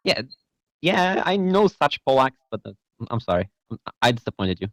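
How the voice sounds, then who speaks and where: Hesitant young Polish game master. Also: male voice, medium pitch, very animated, normal speed, a bit bright, dry recording. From Poland. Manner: hesitant, self-deprecating, often checks in with "right?". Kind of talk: casual online tabletop game chat.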